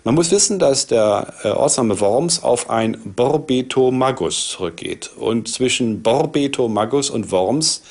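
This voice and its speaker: Husky man explaining as an expert. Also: medium pitch, lively intonation, a little slow, few pauses, a little mellow. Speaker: expert interviewee. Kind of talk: explanatory interview talk.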